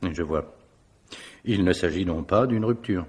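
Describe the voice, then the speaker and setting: A shrewd male investigator in a radio mystery. His voice is low and husky. He is courteous and formal, yet keeps probing with dry insistence. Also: unhurried. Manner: polite, probing, dryly insistent. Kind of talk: French radio mystery drama acting.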